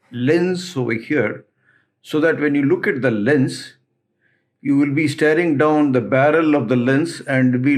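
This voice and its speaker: A man methodically explaining equipment as the presenter of a tech tutorial. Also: medium pitch.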